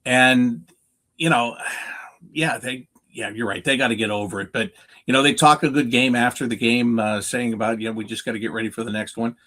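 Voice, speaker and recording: A man with a North American accent and a mid-pitched voice talks sports off the cuff as a guest analyst. He is emphatic, asking questions and then answering them himself. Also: steady tone, brisk, pushed and bright. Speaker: hockey play-by-play announcer as guest analyst. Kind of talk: unscripted sports talk, analysis.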